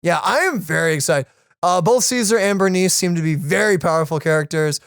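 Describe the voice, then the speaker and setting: A man's voice: high voice, fairly quick, vocal fry, fairly bright tone, smooth, no room sound, studio quality. A man chatting casually and enthusiastically as the host of a gaming reaction channel, in a rambling way. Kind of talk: casual chat about a video game.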